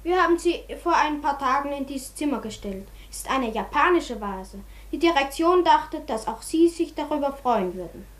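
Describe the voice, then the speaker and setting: High-voiced polite hotel maid. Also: female voice, animated, normal speed, few pauses. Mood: polite, deferential. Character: deferential young hotel maid, polite and eager. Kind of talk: German radio play acting.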